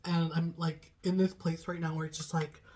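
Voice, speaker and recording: Young woman doing a tarot reading, raspy and hoarse from a cold, low mellow voice, rambles and says like a lot. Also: level tone, normal speed, a little hiss or hum. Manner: chatty, rambling, heavy use of "like". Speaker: tarot reader. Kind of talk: casual channeled tarot reading.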